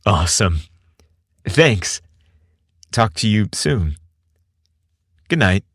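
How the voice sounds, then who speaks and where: A man's voice: lowish voice, sing-song, very slow, dry recording, studio quality, heavily acted. Man as a sweet, hesitant young guy. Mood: shy, warm.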